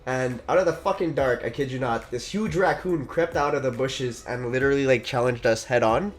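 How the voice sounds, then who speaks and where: A man's voice: mid-range voice, brisk. Giggly young man storyteller, music behind. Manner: giggly, breaks into laughter, swears freely. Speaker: gaming channel co-host. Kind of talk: casual animated storytelling chat. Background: background music.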